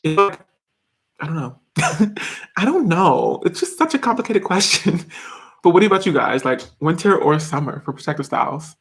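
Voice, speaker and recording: A young man in his twenties talks casually on a live stream from a small bedroom, answering viewers' comments. His voice sits a little high for a man, and he laughs often, restarts sentences and piles on fillers as he chats. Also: very animated, normal speed, fairly bright tone, faint music behind. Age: 20s. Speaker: YouTuber reading live chat.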